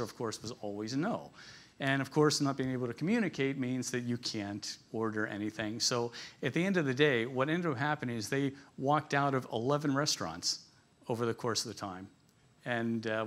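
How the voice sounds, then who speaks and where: A man presents to a business conference in an informal, conversational way. His pitch sits in the middle range, and he tells things like stories with a light, joking touch. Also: a bit bright. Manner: conversational, jokey storytelling, chuckles at his own asides.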